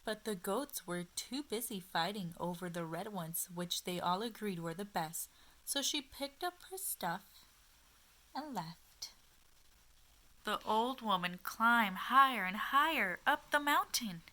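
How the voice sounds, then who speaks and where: A woman reading a storybook aloud to young children, expressive and child-directed, pausing often and addressing her listeners. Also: medium pitch, low-quality recording.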